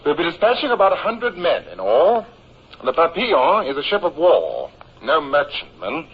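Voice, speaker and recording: Brisk, higher-voiced naval lieutenant. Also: male voice, wildly swooping pitch, husky, heavily acted. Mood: brisk, businesslike. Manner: clipped, methodical briefing. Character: brisk, orderly first lieutenant of a navy frigate. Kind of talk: 1950s radio drama acting. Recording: muffled old radio recording.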